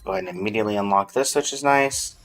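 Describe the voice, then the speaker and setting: A man's voice: lowish voice, rapid-fire, fairly bright tone, a little hiss or hum. A man doing casual, chatty livestream commentary on a video game, speaking directly to his viewers. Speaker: live streamer.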